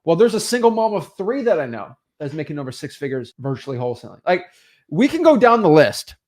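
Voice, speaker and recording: A man's voice: on the high side, brisk. A young man hosting a real estate webinar, giving an emphatic motivational talk and mocking excuses. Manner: animated, emphatic, acts out excuses in mocking voices.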